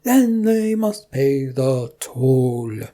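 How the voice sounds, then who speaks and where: A woman voicing a pompous, bossy troll leader in a fantasy story, with loud, commanding, drawn-out questioning. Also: extremely low pitch, sing-song, full character voice. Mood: menacing.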